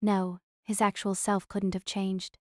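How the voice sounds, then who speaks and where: A woman's voice: medium pitch, flat delivery, unhurried, no room sound, studio quality. A woman reading scripted audiobook narration of a story as its narrator.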